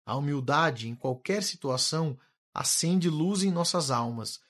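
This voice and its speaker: An actor playing a calm, wise male spirit instructor who speaks in a measured, gently authoritative way. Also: a little high. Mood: patient teaching. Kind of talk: radio drama acting.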